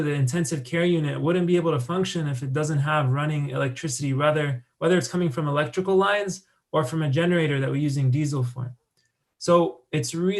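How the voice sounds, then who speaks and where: Conversational American man giving a talk. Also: on the high side. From United States. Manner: loose and conversational, many fillers and restarts. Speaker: conference speaker. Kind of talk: conference presentation with slides.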